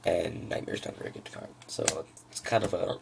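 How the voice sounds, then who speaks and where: Very low, gravelly man talking through cards. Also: sing-song, a little fast, background noise. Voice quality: froggy, gravelly. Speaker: trading card hobbyist presenting a deck. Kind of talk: casual unscripted card-by-card walkthrough.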